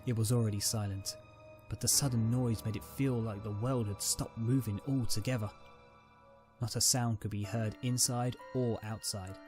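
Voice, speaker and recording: A man's voice: fairly low pitch, fairly even intonation, normal speed. A man reading a dramatic story as a scripted narrator. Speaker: narrator, reader. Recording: music behind the voice. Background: background music.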